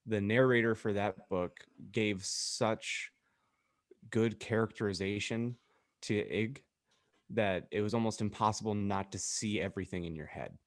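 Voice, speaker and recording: A man's voice: on the low side, unhurried, dry recording, clean studio sound. A man co-hosting a podcast in casual chat, with blunt, joking remarks. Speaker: podcast host.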